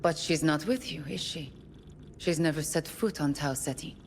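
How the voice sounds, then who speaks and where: Low, measured female therapist. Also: in a large hall, a little hiss or hum. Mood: calm, probing. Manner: measured, calm, clinical. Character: composed, probing female therapist in a space colony. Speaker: doctor, therapist. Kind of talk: dramatic game audio log.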